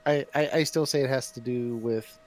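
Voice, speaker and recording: A man's voice: medium pitch, steady tone, normal speed. A man in his fifties hosting a casual chat while painting, full of self-mocking jokes and banter. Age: 50s. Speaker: stream host.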